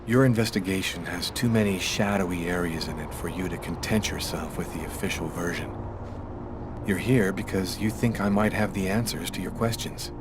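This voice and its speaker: A man playing an earnest, haunted fugitive in a video game, explaining things gravely. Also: sits low, fairly even intonation, moderate pace, noisy background, natural voice. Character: earnest, haunted male fugitive, serious young everyman. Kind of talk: naturalistic video game acting.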